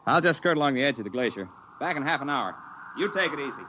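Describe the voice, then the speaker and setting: A man's voice: mid-range voice, expressive, fast talker, pushed and bright, slight background noise, rough recording. A man playing a plainspoken, seasoned mountain climber who narrates and acts in an old-time radio drama. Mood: casual, reassuring. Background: music.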